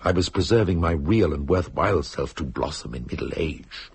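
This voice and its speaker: A man's voice: deep voice, expressive, moderate pace, a little mellow, slightly rough, exaggerated voice. An actor playing a glib, cynical, self-mocking Englishman nearing middle age, with a wry, theatrical, urbane delivery in a British drama. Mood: self-mocking, bleak. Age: middle-aged. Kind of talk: British radio drama acting.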